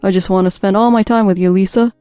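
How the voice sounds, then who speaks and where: Woman putting on a mellow, self-centred husband. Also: on the low side, fast talker, clear, resonant tone, dry recording, studio quality, faint music behind, lightly acted. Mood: smitten, eager. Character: self-centred adult cheating husband, evasive and dismissive. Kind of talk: scripted audio story dialogue.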